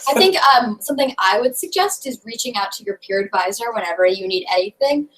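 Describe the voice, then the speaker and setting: A woman's voice: on the high side, expressive, brisk, fairly bright tone, rough recording. A young woman on a student video call, earnest and reassuring. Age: young adult. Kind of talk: casual video call chat.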